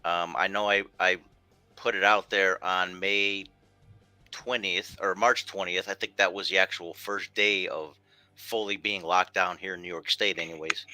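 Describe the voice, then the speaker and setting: Male host, low voice, super sharp and bright, kinda rambles along. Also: fairly even intonation, unhurried, clean tone, no echo, soft background music. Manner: rambling, loosely phrased. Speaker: show host. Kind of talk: casual interview chat.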